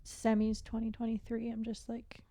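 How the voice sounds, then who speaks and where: A woman guest on a podcast, an athlete and coach, talking candidly and casually in a halting, reflective way full of "like". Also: on the high side, completely flat delivery, dark tone, no room sound. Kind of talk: candid casual interview chat.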